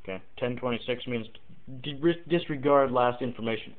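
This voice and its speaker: A man giving an informal, halting tutorial, thinking aloud as he explains and demonstrates example radio calls. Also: mid-range voice, fairly even intonation. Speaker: amateur CB radio tutorial maker. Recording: muffled, tinny phone-like lo-fi audio.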